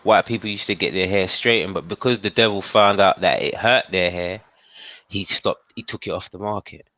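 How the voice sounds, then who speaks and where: A man's voice: low voice, normal speed, sharp tone, no room sound. A man on a panel debate, talking thoughtfully with hesitations and checking that others follow. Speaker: panel speaker. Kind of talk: casual debate. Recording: dull lo-fi call audio.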